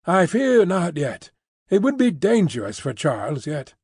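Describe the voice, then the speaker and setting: Man as a calm older doctor, soothing and measured, lively pitch, dry close sound. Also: slightly rough, no room sound, full character voice. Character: gentle, calm older male doctor and grandfather.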